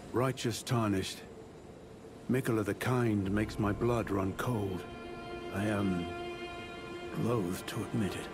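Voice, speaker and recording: An actor playing an aging, humbled warrior in a video game, speaking with formal, grave eloquence. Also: male voice, fairly low pitch. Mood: dread. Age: older. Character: aging, courtly, humbled male warrior haunted by fear. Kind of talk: video game character acting. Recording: loud music behind the voice. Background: music.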